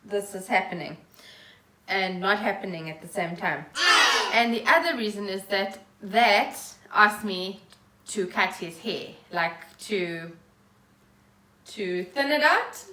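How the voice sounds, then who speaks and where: A woman's voice: mid-range voice, animated, takes it slowly, bright, forceful tone, a little hiss or hum. A woman chatting casually as a podcast co-host in a living room, with wry, dry asides. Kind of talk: casual chat. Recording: roomy echo.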